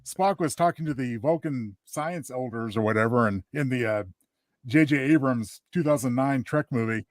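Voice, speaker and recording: A man's voice: medium pitch, normal speed, dry recording, clean studio sound. A man co-hosting a show, giving casual, joking commentary. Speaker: co-host.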